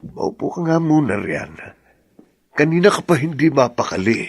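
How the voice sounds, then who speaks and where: A man with a Filipino accent acting a plain-spoken, determined farmer husband in a radio drama, earnest and persuasive, with a gravelly, husky voice. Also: mid-range voice, sing-song, normal speed. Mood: firm, reassuring.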